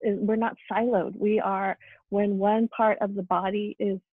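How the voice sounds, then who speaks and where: A woman speaking as a panelist in an earnest discussion, reflective and halting, over a tinny phone-like line. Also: medium pitch, level tone, breathy edge, no room sound.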